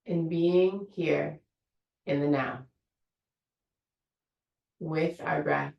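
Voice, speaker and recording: A woman teacher leading a guided meditation in a slow, soothing, invitational way. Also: low-pitched, fairly even intonation, gravelly, reverberant, far from the mic, lo-fi sound. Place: room of an online yoga studio.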